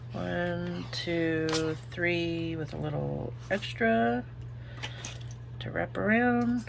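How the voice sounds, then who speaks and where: A woman with an Oklahoma accent hosts a relaxed crafting tutorial, talking through each step and thinking aloud as she works. Her voice sits low with a gravelly edge, over a faint noise in the background. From Oklahoma, United States. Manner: chatty, thinks aloud while working. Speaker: craft video host.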